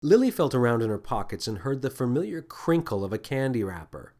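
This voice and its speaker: A man reads a children's story as narrator. His voice sits at a middle pitch and moves expressively up and down, with deliberate, scripted storytelling. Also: sing-song, darkish tone. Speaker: author and narrator.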